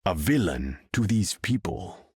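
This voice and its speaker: A man's voice: deep voice, sing-song, a little slow, a little mellow, breathy edge, dry recording, clean studio sound, heavily acted. An actor playing a proud, imperious sea king in a video game, speaking in a grand, formal way. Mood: haughty, threatening. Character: proud, imperious undersea king, haughty and vengeful. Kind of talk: video game character voice lines.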